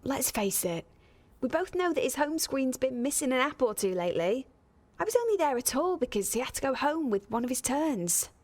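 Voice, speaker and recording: An actress playing a sarcastic, quick-witted young woman in a radio soap. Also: high-pitched, big pitch swings, a little mellow. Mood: defensive, sarcastic.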